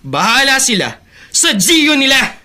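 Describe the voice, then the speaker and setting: An actress playing a spiteful, uncaring young sibling in a radio drama, with a mocking delivery. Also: female voice, high voice, very animated, unhurried, music in the background.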